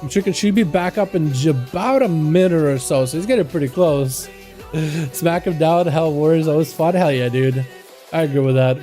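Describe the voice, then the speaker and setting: A young man from Russia with a high yet mellow voice, loosely calling video game duels on a livestream and chatting to viewers as "boys." Faint music plays underneath. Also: moderate pace. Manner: chatty, calls out to chat as "boys". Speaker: livestream host and duel caster. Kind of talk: casual game commentary.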